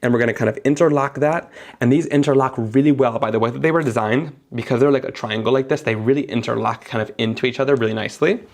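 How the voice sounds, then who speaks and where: A man's voice: mid-range voice, fast talker, darkish tone. A young man, sniffly after being sick, chatting enthusiastically as he narrates each step of a live card-making tutorial.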